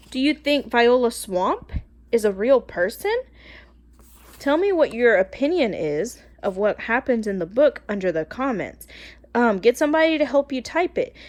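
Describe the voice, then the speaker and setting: A woman teacher reading a children's storybook aloud expressively, phrasing carefully and talking directly to her young listeners. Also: high-pitched.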